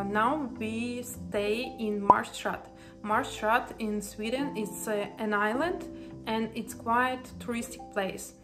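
A young woman with a Ukrainian accent talks to camera from a bright living room, her voice sitting at an ordinary middle pitch. She speaks earnestly and haltingly, filling gaps with frequent pauses. Soft music plays clearly underneath her voice. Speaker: vlogger. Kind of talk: casual vlog.

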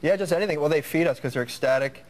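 A man's voice: fairly high pitch, rapid-fire. A young man who fronts a band, answering a morning show host's questions in a joking, self-deprecating way. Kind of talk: casual TV interview. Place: TV studio soundstage.

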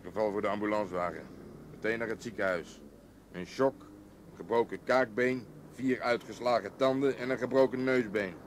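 A man's voice: fairly low pitch, moderate pace, natural voice. An actor as dry, clipped policeman. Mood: dry, grumbling. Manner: clipped, matter-of-fact. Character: dry, grumbling policeman on the beat. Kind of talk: radio drama acting. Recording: old radio play, faint noise.

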